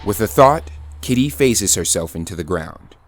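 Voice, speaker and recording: A man playing the steady narrator of an audio drama, reading scripted scene descriptions. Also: lowish voice, moderate pace. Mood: descriptive.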